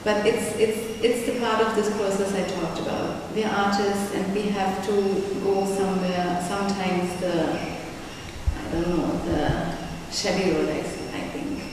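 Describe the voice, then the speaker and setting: A young woman, an artist talking reflectively in an interview, often pausing and restarting as she searches for words. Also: medium pitch, fairly even intonation. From Germany. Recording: echoey room, mic far off, background noise.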